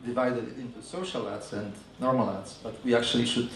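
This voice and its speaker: A man with a Polish accent giving a conference talk on advertising, halting and full of fillers and restarts. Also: mid-range voice, steady tone, slight background noise. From Poland. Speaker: advertising agency speaker. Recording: echoey room from a distance.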